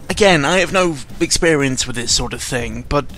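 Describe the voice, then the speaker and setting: A man's voice: a little high, animated, a bit bright. Sarcastic, rambling young man over music. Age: young adult. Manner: rambling, halting, full of self-interruptions; sarcastic, self-deprecating, exasperated sighs and swearing. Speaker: YouTuber recording an audio log. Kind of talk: casual unscripted news commentary. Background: background music.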